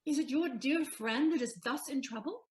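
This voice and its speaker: A woman's voice: high voice, moderate pace, a little mellow, slight background noise, natural voice. An actress playing a commanding, devoted and generous lady in a Shakespearean audio play, theatrical and decisive. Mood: concerned.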